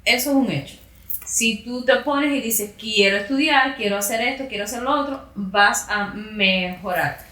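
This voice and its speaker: A woman's voice: fairly high pitch, moderate pace, sharp tone, reverberant, far from the mic. A woman, a guest explaining in earnest how newcomers learn to cope. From Cuba. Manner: earnest, advising tone. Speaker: guest, emigrant mother. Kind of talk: casual conversation.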